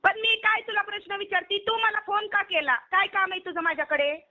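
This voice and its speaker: A woman's voice: extremely high pitch, steady tone, fairly quick, pushed and bright. A woman argues on a phone call. She is scolding and confrontational, pressing her questions again and again. The recording is dull and lo-fi, as from a recorded call. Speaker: online video performer receiving a prank call. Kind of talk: heated phone argument.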